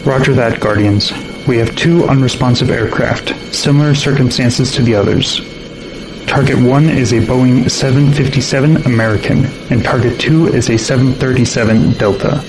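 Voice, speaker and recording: A man playing a steady air traffic controller in an audio drama, clipped and procedural. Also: mid-range voice, moderate pace, natural voice. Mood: focused. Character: steady male air traffic controller guiding fighter jets. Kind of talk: audio drama radio acting. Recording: loud vehicle-like noise behind.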